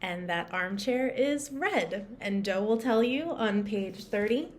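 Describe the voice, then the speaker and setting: A woman with a mid-range voice asks a trivia question from her book. Her delivery is bright and friendly. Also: mid-range voice, expressive, takes it slowly. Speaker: author. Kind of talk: casual trivia presentation.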